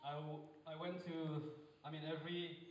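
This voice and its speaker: A young man from Northeast India, giving a heartfelt, halting groom's speech at a wedding reception, pausing between short phrases. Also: a little high, flat delivery. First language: Mizo. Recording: roomy echo, distant from the mic, faint background noise.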